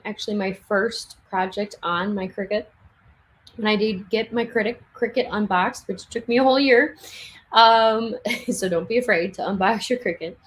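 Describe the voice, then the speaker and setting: A young woman chatting casually on a livestream from her home craft room, laughing often and restarting sentences, her voice getting hoarse. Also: medium pitch, rough recording. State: losing her voice. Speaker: livestream speaker.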